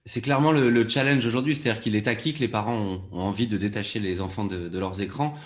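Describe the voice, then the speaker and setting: A man's voice: fairly low pitch, quick pace. A man giving long, structured explanations as a panelist in a conversational discussion. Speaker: panelist, audio fiction producer.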